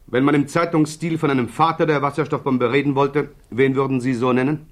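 Give the voice of an actor as a male interrogator in a 1960s German radio drama. He questions formally and precisely in a medium-pitched voice. His manner is sceptical and insistent, pressing for exact answers. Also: steady tone, brisk, close to an everyday voice. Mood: probing, sceptical.

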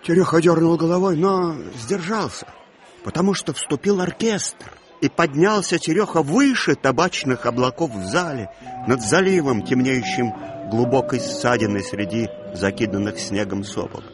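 Expressive male narrator over music. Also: medium pitch, moderate pace. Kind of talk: literary narration in an audio play.

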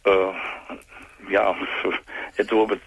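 A man calling a phone-in show, hoarse from a cold, speaking haltingly with many fillers. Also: fairly low pitch, flat delivery. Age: 40s. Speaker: caller. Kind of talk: phone-in call. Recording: muffled phone line.

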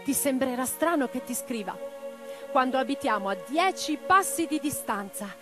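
An actress playing a young, passionate and romantic socialist woman in a radio drama, speaking in an expressive, earnest, lyrical way. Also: high voice, normal speed, music in the background. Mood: tender. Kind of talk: theatrical radio drama monologue and dialogue.

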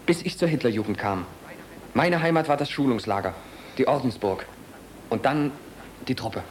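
A man's voice: mid-range voice, expressive, normal speed, natural voice. Man acting earnest young soldier, faint background noise. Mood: subdued, bitter. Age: young adult. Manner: formal, subdued, earnest confiding. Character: young, earnest, dutiful, disillusioned German soldier. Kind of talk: German radio play acting.

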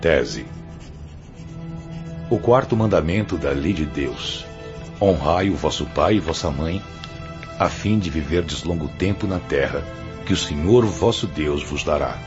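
A Brazilian actor playing a stern, solemn spirit teacher with an air of authority in a radio drama. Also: male voice, extremely low pitch, lively intonation, measured pace, long pauses, exaggerated voice. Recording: dull lo-fi audio under loud music.

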